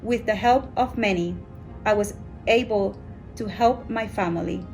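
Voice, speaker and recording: Woman, mid-pitched voice. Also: a little mellow, rough recording. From Honduras. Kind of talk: prepared personal testimonial.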